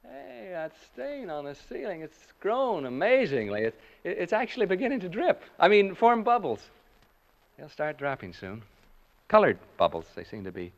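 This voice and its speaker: An actor playing a frightened American broadcaster unraveling in a haunted house, rambling and stammering in 1940s radio suspense style. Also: male voice, sits high, very animated, moderate pace, gravelly, full character voice. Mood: lonely, unnerved. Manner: rambling, stammering, nervous laughter. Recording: dull, lo-fi vintage radio recording.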